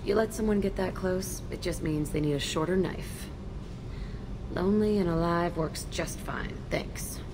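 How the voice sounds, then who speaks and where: A defiant young woman with a prison past, acted by a woman for a game. Her voice is lowish, curt and sardonic, with loud ambient noise behind it. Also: a little slow, natural voice. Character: tough, guarded young female ex-convict, cynical, defiant. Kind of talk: video game character acting.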